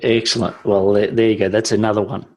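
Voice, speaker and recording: A man's voice: lowish voice, level tone, fast, darkish tone. A man hosting a podcast, asking questions in an upbeat, encouraging way. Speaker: podcast host. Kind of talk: interview questions.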